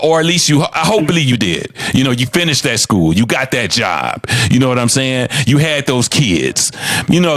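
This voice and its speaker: A man's voice: medium pitch, a bit bright, no echo. A man in his fifties, talking in a blunt, profane, frank way as a co-host of a casual podcast chat. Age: 50s.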